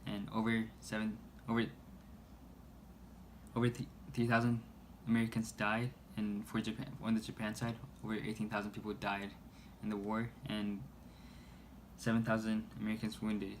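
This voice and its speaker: A man reading a scripted news report aloud in a halting way, stumbling over words. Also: fairly low pitch, completely flat delivery. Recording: noisy amateur recording.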